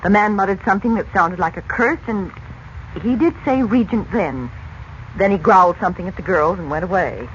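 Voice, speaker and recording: An actress playing a weary, genteel mother in an earnest, expressive 1940s radio serial style. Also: medium pitch, fairly quick, lightly acted. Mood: puzzled recounting. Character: Dorothy, weary genteel mother back from an ordeal. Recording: dull lo-fi radio recording with noise.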